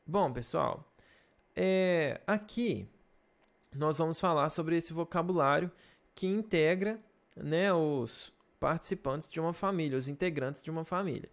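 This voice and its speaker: A young man with a Brazilian Portuguese accent, an English teacher slowly explaining a lesson in a recorded video and checking in with his students. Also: a little high, vocal fry. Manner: slow, patient explaining with check-in questions.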